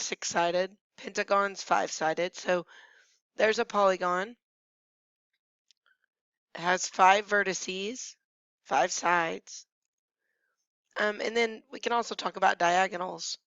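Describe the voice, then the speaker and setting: A woman math teacher who has nearly lost her voice, hoarse and at times whispering, patiently talking through each step of a screencast lesson. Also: mid-range voice, a bit bright, no echo. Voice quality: hoarse, gravelly, sometimes dropping to a whisper. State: losing her voice. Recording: dry, dull lo-fi screencast audio.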